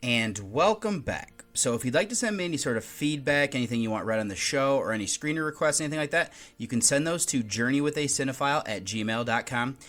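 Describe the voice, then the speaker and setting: A man's voice: medium pitch, lively intonation, fairly quick, few pauses, fairly bright tone. A man hosting a film review podcast, talking casually and sometimes restarting a word. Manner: conversational, occasional restarts while reading notes. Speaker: podcast host.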